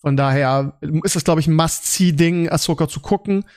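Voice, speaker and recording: Male podcast host, slightly high-pitched, conversational, searching for words with repetitions. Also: normal speed, a little mellow, clean tone. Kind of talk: casual podcast chat about series and films.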